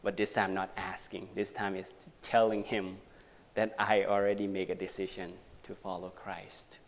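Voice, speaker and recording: A man with a Thai accent giving an earnest, halting testimony as a visiting preacher in a church. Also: deep voice, level tone. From Thailand. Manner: halting, earnest, many pauses and fillers. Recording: reverberant hall.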